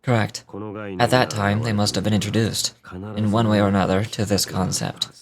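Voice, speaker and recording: A woman voicing a brooding, grave man from a fantasy game, with a low, grainy voice and terse, solemn delivery. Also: steady tone, normal speed, a crowd in the background. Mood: solemn, reflective. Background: game music.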